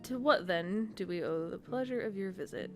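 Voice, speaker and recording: A composed, dutiful lady knight, acted out by a woman in a fantasy game. Her speech is formal and measured, and music plays clearly behind her. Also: medium pitch, natural voice.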